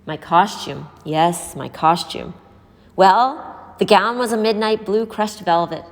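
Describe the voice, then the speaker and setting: A woman voicing a gentle, wistful, motherly woman who cares for street children in a storybook. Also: mid-range voice, slow. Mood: wistful, fond. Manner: reminiscing, fond, motherly. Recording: echoey hall-like reverb.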